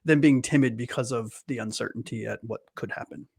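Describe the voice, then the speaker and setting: A man's voice: mid-range voice, brisk, gravelly, darkish tone, slightly rough, dry recording. A man from Miami in his late thirties, co-hosting a casual podcast chat in a chatty, rambling way with frequent "right?". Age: late 30s.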